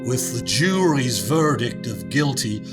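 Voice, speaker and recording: A man playing a stern, commanding judge in a radio play, speaking in a formal, booming, deliberate manner. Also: animated, loud music behind the voice, natural voice. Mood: grave, contemptuous. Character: stern, commanding male trial judge, righteous and disgusted.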